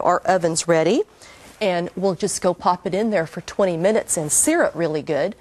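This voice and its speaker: A woman's voice: mid-range voice, darkish tone. A woman in her forties hosting a studio cooking show, chatting through a roast recipe and giving tips straight to the viewer. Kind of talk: friendly cooking demonstration. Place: indoor TV studio kitchen set.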